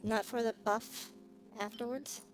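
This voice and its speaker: A woman streamer with a fairly high voice talks casually over clear background music. She thinks aloud as she plays, musing haltingly at an unhurried, slow pace. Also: fairly even intonation. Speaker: livestreamer playing a video game. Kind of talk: casual gameplay stream chat.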